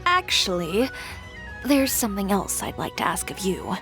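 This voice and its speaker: An actress playing a cool, blunt young fashion designer in a video game, speaking in a composed, dry-witted, brisk way. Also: female voice, medium pitch, big pitch swings, over music. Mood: businesslike.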